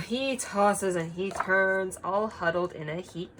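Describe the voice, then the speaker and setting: A woman's voice: mid-range voice, takes it slowly. Woman teacher reading aloud, with noisy background. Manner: expressive, encouraging pupils with frequent questions and prompts. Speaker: teacher reading a picture book aloud to young children. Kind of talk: children's read-aloud lesson with singing and questions.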